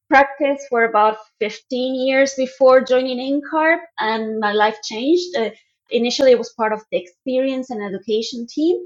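A woman with an Argentine accent, an architect guest telling her story in a friendly, measured way on a podcast interview. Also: a little high, highs cut off.

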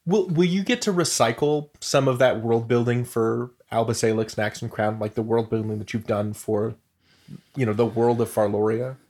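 Mid-pitched man hosting a casual interview. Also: fairly even intonation, moderate pace, a little mellow. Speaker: interview host.

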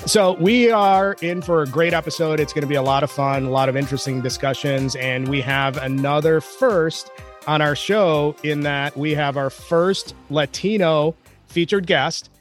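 A man's voice: a little high, moderate pace, faint music behind. A man hosting a business podcast in an upbeat, jokey way, calling himself a nerd. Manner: enthusiastic, jokey, self-described nerd. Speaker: podcast host.

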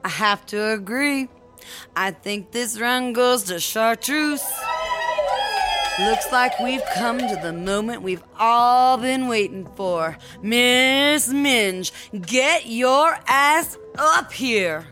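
A woman's voice: a little high, lively intonation, takes it slowly, sharp tone, faint music behind, heavily acted. A woman playing a commanding female emcee who judges a prison rap battle in a comedy audio drama. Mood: announcing.

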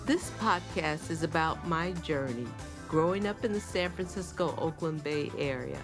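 A woman co-hosting a podcast, reading a scripted introduction in a measured, heartfelt way. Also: deep voice, expressive. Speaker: podcast co-host. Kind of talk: scripted narration. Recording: music playing underneath.

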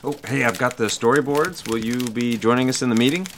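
An actor plays a friendly, flattering art director in an office drama. His male voice is mid-range, and his delivery is breezy and casual. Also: medium pitch, a little fast, slight background noise, close to an everyday voice. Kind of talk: dramatic audio drama acting.

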